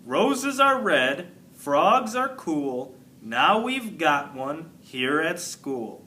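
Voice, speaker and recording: A man reading a storybook as a blunt young schoolboy who recites a silly verse with deliberate emphasis. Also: on the high side, big pitch swings, sharp tone, slight background noise, full character voice.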